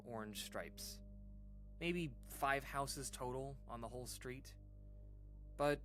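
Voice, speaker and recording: Male actor as uneasy guy recalling a creepy childhood story for a radio horror drama; halting, casual delivery, very lively pitch, music underneath. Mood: uneasy, reminiscing. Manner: hesitant, halting, informal, with pauses and sighs. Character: uneasy man recounting an eerie childhood memory to listeners. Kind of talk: audio drama monologue, conversational horror storytelling.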